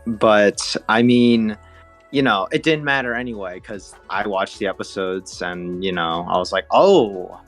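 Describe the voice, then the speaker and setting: Young male podcast co-host, deep voice, conversational anecdote delivery over faint music. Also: fairly even intonation, measured pace, fairly bright tone. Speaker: podcast co-host, video reviewer. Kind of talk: casual chat, personal anecdote.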